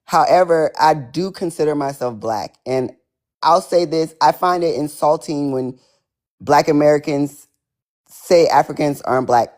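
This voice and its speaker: A woman from Liberia calling in to give a firm, measured rebuttal. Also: deep voice, a little mellow. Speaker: caller. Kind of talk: stating a rebuttal on a call.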